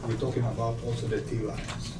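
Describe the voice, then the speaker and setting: An Italian man with an Italian accent giving a progress presentation as site manager in halting, repetitive non-native English. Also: mid-range voice, flat delivery. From Italy. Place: small indoor meeting room. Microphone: distant camera microphone. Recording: echoey noisy meeting room from far off.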